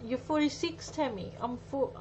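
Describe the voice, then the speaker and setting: A woman's voice: sits high, moderate pace, mellow. A woman in her forties chatting casually with viewers as she hosts a live craft demonstration, thinking aloud as she goes. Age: 40s. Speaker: craft livestream host. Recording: muffled audio with faint background noise.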